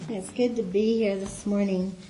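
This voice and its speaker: A woman with a light voice giving a chatty church teaching as a guest speaker, telling stories with soft asides. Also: medium pitch, little pitch movement, normal speed, a little hiss or hum, rough recording. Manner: warm, chatty storytelling with soft laughs and asides. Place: meeting room with a board.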